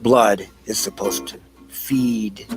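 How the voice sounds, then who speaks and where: Slow, low-voiced male narrator over guitar music. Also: fairly even intonation. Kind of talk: scripted audio play narration.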